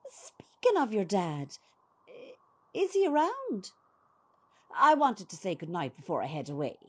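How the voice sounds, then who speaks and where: A nosy older Irish neighbour , acted by a woman in a radio drama. Her voice is husky and swings widely up and down. She chats and probes with syrupy, dramatic sympathy. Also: mid-range voice, huge pitch swings, a little slow, darkish tone, exaggerated voice. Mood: prying sympathy. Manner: chatty, probing, dramatic. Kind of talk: radio play acting.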